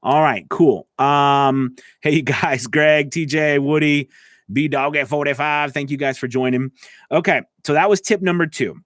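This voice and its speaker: A man's voice: a little high, fairly even intonation, unhurried, fairly bright tone, no echo, clean studio sound. A man hosting a casual live tutorial as a coach, explaining conversationally and checking in with the listener as he goes. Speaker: voice-over coach and show host.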